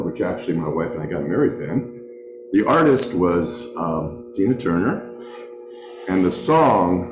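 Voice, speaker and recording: A man's voice: fairly low pitch, roomy sound, lo-fi sound. A man in his sixties with a big voice, a past club president, speaking reflectively at a luncheon meeting before leading a prayer. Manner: unhurried and reflective, with filler pauses. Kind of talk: informal reflection followed by a prayer.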